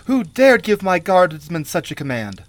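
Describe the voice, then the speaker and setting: A man's voice: very high voice, fairly even intonation, fairly quick, voice pushed up. A man voicing an outraged and frightened young queen with urgent, indignant questioning in a dramatic fantasy story.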